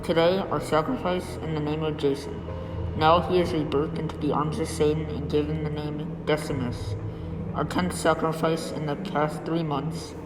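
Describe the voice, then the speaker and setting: A man's voice: on the high side, little pitch movement, moderate pace, natural voice. A young man playing a menacing, solemn cult leader who proclaims ceremoniously in an amateur radio drama. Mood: solemn, ceremonial. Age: young adult. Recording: echoey, noisy hall with long reverb.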